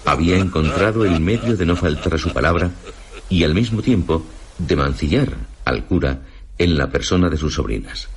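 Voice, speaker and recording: A man with a Castilian Spanish accent narrating a literary radio drama in a solemn, measured storytelling manner. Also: low-pitched, lively intonation, husky. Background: prominent music.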